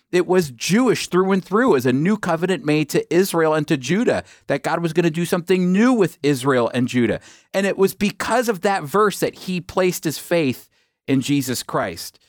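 A man's voice: a little high, no echo, clean studio sound. A man giving sermon-like Bible teaching in an emphatic, explanatory manner, repeating phrases for stress.

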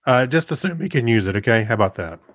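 A man co-hosting a podcast in casual, unscripted chat, rambling along in a laid-back, halting way. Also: medium pitch, animated, no room sound, clean studio sound. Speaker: podcast co-host.

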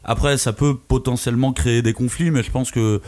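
A man co-hosting a casual podcast, speaking in a thoughtful, measured way. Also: mid-range voice, fairly even intonation, darkish tone. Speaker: podcast co-host. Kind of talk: casual discussion.

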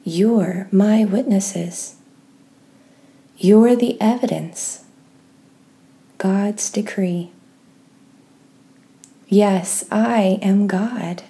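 A woman leading a guided meditation and scripture reading in a gentle, soft-spoken, measured way with pauses. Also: mid-range voice, creaky. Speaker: host of a guided prayer podcast.

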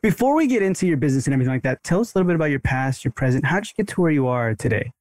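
Fast-talking male podcast host. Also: medium pitch, sing-song, a little mellow, dry recording, studio quality. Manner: agreeable, quick back-channel replies. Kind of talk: casual podcast interview.

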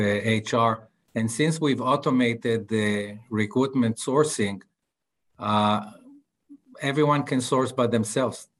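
Halting Israeli-accented man, flat low voice. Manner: halting, many filler sounds. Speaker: guest entrepreneur. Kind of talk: podcast interview.

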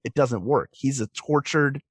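A man's voice: medium pitch, measured pace, husky, no echo, studio quality. A man talking as a guest in a casual discussion, explaining things and rephrasing as he goes. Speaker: podcast guest, performer.

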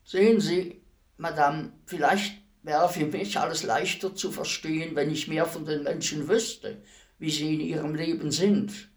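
An actor playing a weary old executioner in a radio play, speaking in a deliberate, ruminative manner with heavy pauses. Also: male voice, a little high, fairly even intonation. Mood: humble, pensive. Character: weary old male executioner, fifty years imprisoned, brooding, humble.

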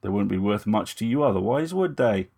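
Man voicing a poised, defiant lady spiritualist, husky. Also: lowish voice, lively intonation, a little fast, darkish tone. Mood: cool, probing. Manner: curt, proper, unflinching.

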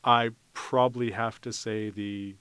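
A man's voice: lowish voice, level tone, a little slow, a bit bright, smooth, no echo. A man speaking as a podcast guest in casual chat, thinking aloud hesitantly as he answers. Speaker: podcast guest, festival co-chair.

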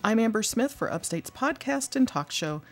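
A woman hosts a radio health interview show and keeps it moving with brisk, short prompts. Her questions and acknowledgments are quick and to the point. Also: on the high side, animated. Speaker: radio talk show host.

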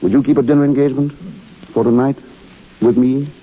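A man playing an earnest, easily flustered gentleman on vacation in a 1930s radio drama. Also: medium pitch, steady tone, brisk, natural voice. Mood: smitten, bashful. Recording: muffled vintage 1930s radio broadcast.

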